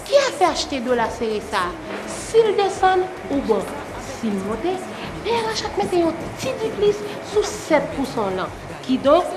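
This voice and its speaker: A woman voicing a confident friend in a radio ad, lively and persuasive as she explains bank bonds. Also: sits high. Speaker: radio ad character. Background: music.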